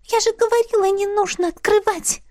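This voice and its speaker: A woman's voice: very high-pitched, steady tone, fairly quick, darkish tone, voice pushed up. A woman voicing a small, bossy female spirit who talks in a businesslike, indignant way. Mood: alarmed. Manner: businesslike, indignant, hissing when alarmed.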